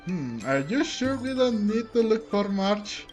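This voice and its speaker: A man's voice: sits high, sing-song, measured pace, darkish tone, soft background music. A man doing casual, playful let's-play commentary, reacting aloud and reading game dialogue. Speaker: game streamer.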